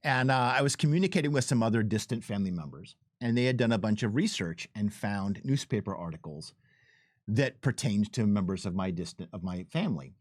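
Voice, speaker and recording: Guy doing dev commentary, really lively pitch, emphatic storyteller, casual and off the cuff, close dry sound. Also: a little fast, no echo. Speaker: game developer giving commentary. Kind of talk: casual unscripted livestream chat.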